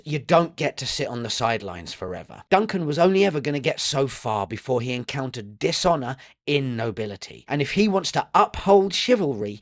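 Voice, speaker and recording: A man's voice: medium pitch, fairly bright tone, no room sound. The man's voice you would hear over an online fantasy series breakdown, dramatic and earnest, recorded dry and close. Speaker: video essay narrator and reviewer. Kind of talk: scripted dramatic commentary.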